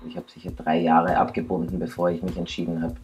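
Deep-voiced guy in his 30s, calm and reflective, telling his story on camera, bit of music under it. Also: fast. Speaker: interviewee. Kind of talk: personal testimony to camera. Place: indoor studio set, seated.

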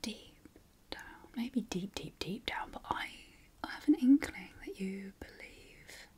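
A British woman speaking in a breathy whisper, giving encouraging, affectionate ASMR affirmations in an informal way. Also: medium pitch, lively intonation, takes it slowly, darkish tone. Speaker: ASMR host.

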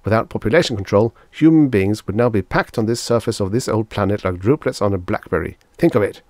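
A man's voice: fairly low pitch, quick pace, a little mellow, no room sound, lightly acted. Storybook doctor, elderly male, pompous and emphatic, born lecturer, close dry sound performed by a man. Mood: stern, emphatic. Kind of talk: audiobook character acting.

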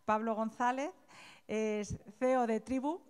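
A woman's voice: on the high side, takes it slowly, darkish tone, clean tone. A young woman presenting an event and introducing a guest speaker to students, speaking with frequent hesitations in a university auditorium. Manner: hesitant, many fillers.

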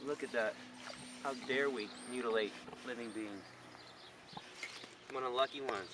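A man's voice: mid-range voice, moderate pace. A man in his forties with an American accent, giving informal, emphatic commentary full of rhetorical questions as an animal advocate. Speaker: animal rights advocate presenting a farm sanctuary. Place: open farm field outdoors. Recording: noisy outdoor farm location sound.

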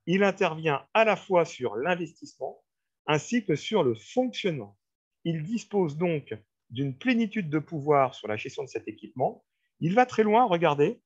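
A man's voice: a little high, breathy edge. A man giving a formal, didactic training presentation on a video call, explaining slides and addressing his listeners directly. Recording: dull, lo-fi video-call audio.

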